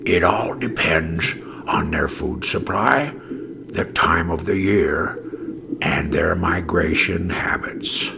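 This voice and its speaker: A weathered old humpback whale from a storybook, voiced by a man, wise and kindly. He speaks very slowly in a breathy, creaky voice, solemn and reassuring, over clear background noise. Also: low-pitched, full character voice. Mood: patient, explaining. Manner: calm, reassuring, slow and solemn. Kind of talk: children's storybook character voice.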